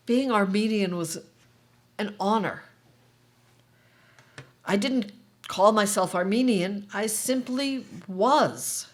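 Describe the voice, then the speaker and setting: An actress reading the part of a middle-aged Armenian-American mother in a staged play, speaking in a measured, reflective way. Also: mid-range voice, lightly acted. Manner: measured, slow, reflective delivery.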